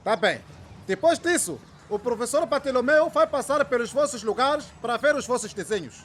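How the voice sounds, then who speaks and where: A patient, keen male schoolteacher in a radio serial, pitched very high. He instructs and checks with questions over faint background noise. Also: lively intonation, moderate pace, a bit bright. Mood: instructive, encouraging. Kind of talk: radio drama acting.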